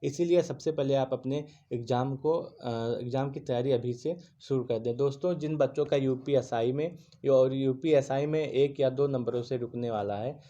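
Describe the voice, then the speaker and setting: A man's voice: mid-range voice, moderate pace, hardly any pauses, warm tone, highs cut off. A man teaching exam aspirants in a casual spoken lesson, earnest and repetitive, often restarting words mid-sentence. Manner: earnest, repeats himself, restarts mid-word.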